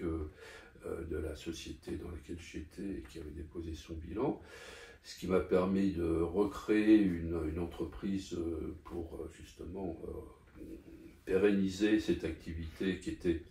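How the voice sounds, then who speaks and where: A man's voice: sits low, gravelly, mellow. An older man from Paris, talking through his life in an interview, hesitant with many fillers and restarts. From Paris, France. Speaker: interview guest. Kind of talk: conversational interview. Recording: echoey room, distant mic.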